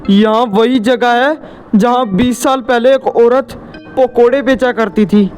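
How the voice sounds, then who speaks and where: A man's voice: very high-pitched, steady tone, slow, a little mellow, pitched above the performer's own voice. A man voicing a timid, anxious village boy in a horror cartoon story, fearful and pleading. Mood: frightened.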